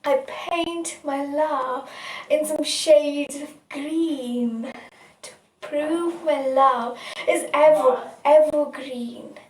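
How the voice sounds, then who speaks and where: Woman, high voice, poetry recitation, dramatic deliberate phrasing, echoey distant recording. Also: a little hiss or hum. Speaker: poet reciting own poem. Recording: echoey room, distant mic.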